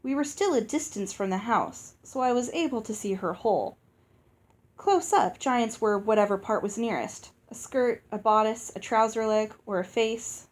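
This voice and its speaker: A woman reading a storybook aloud as narrator, in an expressive scripted style. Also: a little high, normal speed. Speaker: audiobook reader.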